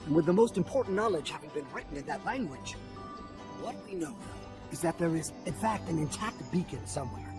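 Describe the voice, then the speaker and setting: An actor playing an earnest, measured scholarly mentor in a sci-fi video game. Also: male voice, fairly high pitch, expressive, music in the background, lightly acted. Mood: solemn, hopeful. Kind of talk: dramatic video game acting.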